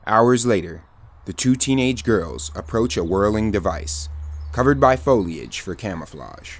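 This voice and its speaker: A man playing the steady narrator of an audio drama, reading scripted scene descriptions. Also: on the low side, a little slow, exaggerated voice. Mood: descriptive.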